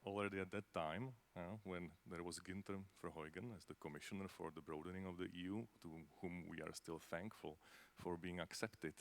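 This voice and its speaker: A man's voice: low voice, fairly even intonation, moderate pace, few pauses. A man from the Czech Republic with a Czech accent, answering on a conference panel in a conversational way, with many fillers and tag questions. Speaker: panelist from a space agency.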